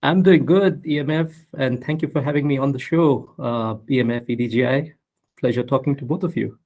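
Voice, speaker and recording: A man in his thirties from the United States, a guest talking calmly about himself on a podcast with frequent fillers. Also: mid-range voice. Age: 30s. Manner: measured, self-introducing with fillers. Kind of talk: casual interview.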